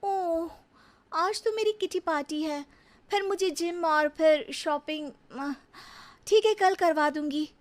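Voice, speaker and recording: A woman's voice: extremely high pitch, slow, voice pushed up. A woman voicing a vain, rude young city wife in a cartoon story, with a haughty, whiny manner.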